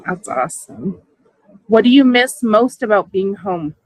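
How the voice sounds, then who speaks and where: A woman's voice: mid-range voice, animated, measured pace, dry recording, rough recording. A woman student reading out questions for a class interview, friendly and a little hesitant.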